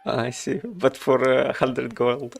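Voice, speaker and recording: A man's voice: mid-range voice, lively intonation, drawn-out words, vocal fry, breathy edge, dry recording. A man from Russia with a Russian accent, chatting casually while streaming a game, thinking aloud with many fillers. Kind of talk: casual gameplay commentary.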